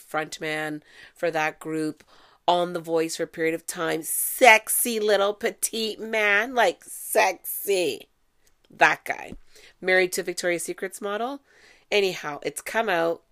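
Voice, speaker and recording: Brief: low-pitched woman host, nasal and congested, blunt, swears freely. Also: unhurried, a bit bright. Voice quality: nasal from allergies. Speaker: podcast host. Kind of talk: casual solo podcast chat.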